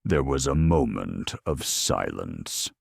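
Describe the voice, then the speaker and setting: Very deep, gravelly male narrator. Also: very animated, slow pace, no room sound, studio quality. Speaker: audio story narrator. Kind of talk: dramatic scripted narration.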